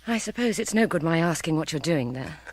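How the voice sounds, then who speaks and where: A woman's voice: deep voice, lively intonation, a little fast. A woman playing a detective's middle-aged wife, exasperated but affectionate, in a British radio drama. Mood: exasperated, fond.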